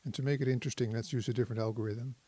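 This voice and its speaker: A man's voice: mid-range voice, fairly even intonation, very fast, warm tone. A man giving a casual hands-on tutorial, talking as a presenter who thinks aloud while he works. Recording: synthesizer tones behind the voice. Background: synthesizer sounds.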